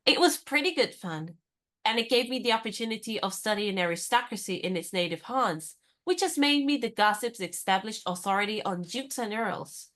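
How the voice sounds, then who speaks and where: A spirited young American heroine of a radio comedy, acted by a woman. She has a mid-pitched voice and a brisk, forthright manner. She sounds independent and encouraging, as if nothing daunts her. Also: animated, a bit bright, lightly acted. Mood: matter-of-fact, assured. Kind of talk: radio play comedy dialogue.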